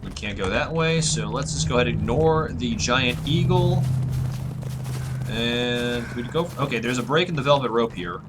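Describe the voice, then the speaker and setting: A man with a husky, tired voice, musing aloud in relaxed let's-play commentary as a gaming channel host. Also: mid-range voice, moderate pace, very noisy.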